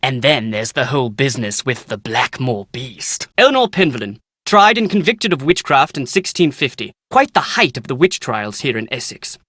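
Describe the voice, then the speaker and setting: Pompous, very animated British scholar. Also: male voice, mid-range voice, moderate pace, hardly any pauses, dry recording. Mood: affable, wry. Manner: pompous, theatrical, storytelling, bookish diction. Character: Nigel Mukherjee, pompous, excitable British male scholar writing family history. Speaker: researcher and aspiring author. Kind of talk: video game character dialogue.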